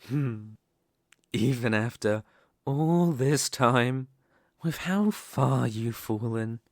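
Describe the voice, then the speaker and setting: A man performing an arrogant, domineering immortal demon king in an ASMR roleplay, speaking deliberately with condescending menace. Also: mid-range voice, no echo, clean studio sound.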